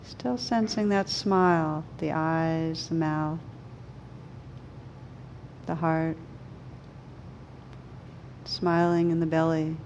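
A woman leading a guided meditation, speaking gently and soothingly in slow, unhurried phrases with pauses. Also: low voice, fairly even intonation, muffled recording, a little hiss or hum. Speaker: meditation teacher.

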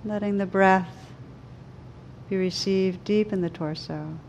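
A woman leading a guided meditation, speaking gently and soothingly in slow, unhurried phrases with pauses. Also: mid-range voice, fairly even intonation, slight background noise, poor audio. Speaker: meditation teacher.

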